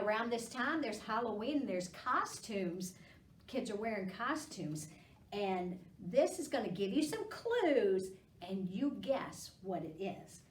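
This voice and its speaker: A woman hosting a library storytime, reading rhymes to children with an expressive, encouraging, singsong delivery. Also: medium pitch. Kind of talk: children's storytime read-aloud with rhymes and guessing prompts. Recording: roomy echo, distant microphone.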